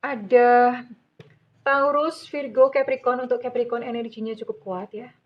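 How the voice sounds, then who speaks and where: A woman's voice: a little high, fairly even intonation, measured pace, lo-fi sound. A middle-aged woman with a Javanese-influenced accent, giving a soothing tarot reading to her viewers, calling them dear. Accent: Javanese-influenced Indonesian. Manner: soothing, addresses listener as dear, calls herself Bunda. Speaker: tarot reader.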